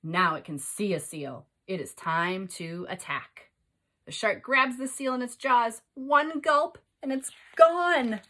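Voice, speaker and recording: A woman's voice: fairly high pitch, takes it slowly, bright, forceful tone. A woman teacher reading aloud and explaining to young children, instructing them with questions and prompts. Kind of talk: expressive read-aloud and lesson for young children.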